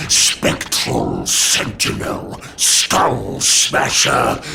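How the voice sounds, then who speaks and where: An actor playing a huge, boastful, lovesick monster warlord in a video game, pompous and theatrical, with a gravelly, gushing delivery. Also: male voice, lowish voice, bright, forceful tone, slight background noise, heavily acted. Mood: pompous, menacing.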